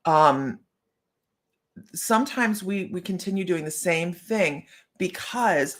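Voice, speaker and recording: Gravelly-voiced chatty woman host. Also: lowish voice. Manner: chatty, laughs often, greets viewers by name. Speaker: psychic medium host. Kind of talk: live-stream talk to viewers.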